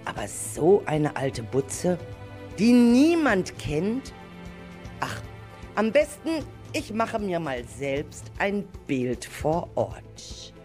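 A curious, caring grandmother and schoolteacher from a children's radio play, acted by a woman. She speaks slowly and deliberately with very animated intonation, often as if thinking aloud. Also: on the low side, faint music behind, heavily acted. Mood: resolute, musing. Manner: deliberate, expressive, talks to herself. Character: curious, caring grandmother and teacher searching for her pupils. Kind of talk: children's amateur audio drama acting.

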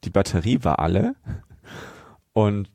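Man in his 30s, deep voice, dry recording, telling little stories casually on a podcast. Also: expressive, measured pace, mellow, dry recording. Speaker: podcast co-host. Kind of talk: casual chat, telling anecdotes.

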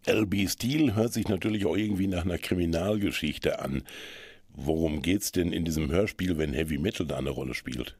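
A man presenting and interviewing on radio in a measured, polite, scripted style. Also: low voice, darkish tone, husky, no room sound.

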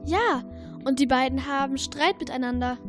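A determined, bossy girl detective, acted by a female performer in a children's audio drama. The voice is very high, with faint music underneath. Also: normal speed, lightly acted. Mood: watchful. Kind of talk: children's radio play acting.

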